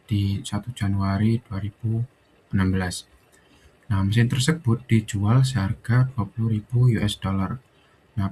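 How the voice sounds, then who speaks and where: A man's voice: fairly low pitch, dark tone, poor audio. A young man giving an explanatory student presentation, often adding little check-in tags. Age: young adult. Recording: faint background noise.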